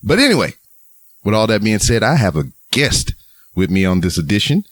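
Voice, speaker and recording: Laid-back deep-voiced podcast host. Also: male voice, sing-song, normal speed, fairly bright tone, no room sound. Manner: laid-back, joking, swears casually. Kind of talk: casual podcast chat.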